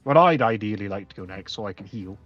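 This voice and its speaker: A man's voice: fairly low pitch, animated, fast talker, soft background music. Man gaming on a dull, lo-fi mic. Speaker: player in a tabletop roleplaying game. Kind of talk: casual, hesitant game talk. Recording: dull, lo-fi voice channel.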